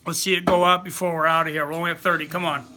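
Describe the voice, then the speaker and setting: A man hosts a live stream in a loose, chatty way, reading viewer comments and pushing people to hit the like button. His voice sits high for a man. It has a very bright, sharp edge. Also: flat delivery, racing, slight background noise. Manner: chatty, reads viewer comments aloud, urges likes.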